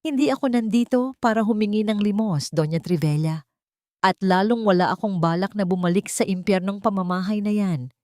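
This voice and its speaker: A woman playing a wronged young mother in an audio drama, calm and coldly resolute, stressing each syllable. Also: mid-range voice, brisk, dry recording, clean studio sound, close to an everyday voice. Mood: calm defiance. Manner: calm, emphatic on every syllable.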